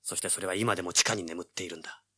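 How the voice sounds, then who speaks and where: An actor playing a brash young male treasure hunter in an audio drama, with a rough, slangy, hard-boiled delivery. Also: deep voice, fairly even intonation, rapid-fire, bright, forceful tone, exaggerated voice. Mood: hard-boiled storytelling. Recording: noisy old cassette audio drama.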